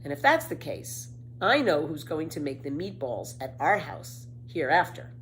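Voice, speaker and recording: A woman's voice: low voice, big pitch swings, moderate pace, fairly bright tone, slight background noise, poor audio. A woman voicing a bold, playful young girl from a children's storybook, with a breezy, cheeky, exclamatory delivery. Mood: teasing.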